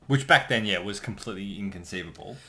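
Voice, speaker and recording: A man's voice: deep voice, lively intonation, fast, pushed and bright, a little hiss or hum. A man chatting casually as co-creator on a commentary track, trading remarks in conversation.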